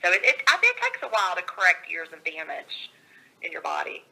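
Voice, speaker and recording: A woman speaks with bright, forceful energy and a gravelly edge, her voice slightly scratchy from a cold. She talks over a call line in an upbeat way and laughs readily. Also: fairly low pitch, wildly swooping pitch, brisk. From Georgia, USA. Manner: upbeat and enthusiastic, laughs often. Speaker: author and guest.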